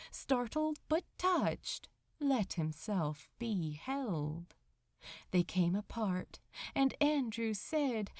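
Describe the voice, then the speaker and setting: A woman reading a story aloud as narrator, in a measured and dramatic storytelling style. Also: mid-range voice, no room sound, studio quality. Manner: expressive, measured. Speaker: fan fiction narrator.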